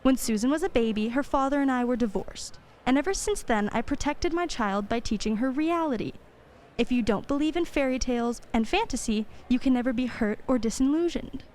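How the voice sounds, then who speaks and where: A woman's voice: high-pitched, lively intonation, brisk, a little mellow, slight background noise. A woman playing a sensible, guarded working mother in a radio play, with an earnest, proper and firm manner.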